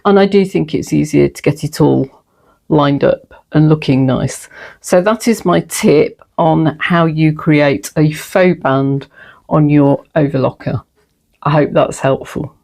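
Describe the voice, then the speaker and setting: Woman, middle-aged, low gravelly voice, slightly throaty from a cold, chatty home sewing vlog. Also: a little slow, darkish tone. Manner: chatty, self-deprecating, frequent ums. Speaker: sewing vlogger. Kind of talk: casual sewing tutorial and chat.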